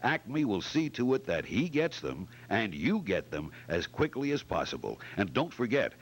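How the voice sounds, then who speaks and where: A man's voice: mid-range voice, fairly bright tone, exaggerated voice. A man playing an eager, polished wartime radio announcer delivering sponsor messages in 1940s style. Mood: patriotic.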